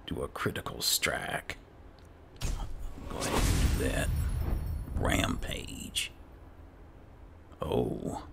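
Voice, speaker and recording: A man giving low-key, muttered in-character commentary as he roleplays through a video game. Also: drawn-out words, creaky, long pauses, background noise. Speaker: gaming video host. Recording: game music under the voice. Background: video game music.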